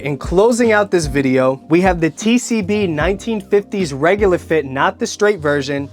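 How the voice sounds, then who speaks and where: A young man with a slightly hoarse, nasal voice from a cold, enthusiastically reviewing menswear in casual detail. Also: a little high. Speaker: fashion vlogger.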